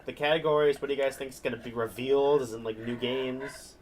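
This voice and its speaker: A man's voice: mid-range voice, fairly even intonation, fast, a little hiss or hum. A man chatting casually to his livestream audience while playing a game. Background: game audio.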